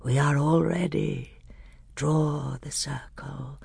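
A woman reading aloud, voicing a grim werewolf with a slow, whispery, menacing delivery. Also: low-pitched, fairly even intonation, gravelly, darkish tone, breathy edge, no echo, exaggerated voice. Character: grey, terrible male werewolf.

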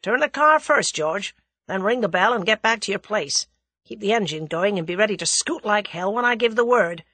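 A brash young American man from a storybook thriller, acted out by a woman, with a husky edge and a lively swing in pitch. He gives orders coolly and tosses off wry slang in a breezy, commanding way. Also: moderate pace, no room sound. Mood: urgent, commanding.

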